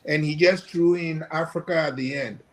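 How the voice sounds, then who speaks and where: An older man from Ghana, a guest professor giving measured, hesitant commentary. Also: on the high side, steady tone. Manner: measured, hesitant with many fillers.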